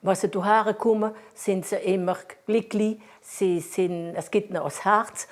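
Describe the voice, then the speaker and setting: A woman's voice: mid-range voice, steady tone, a little slow. Alsatian woman, plain homely answers. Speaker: museum volunteer. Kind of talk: quick-fire interview answers.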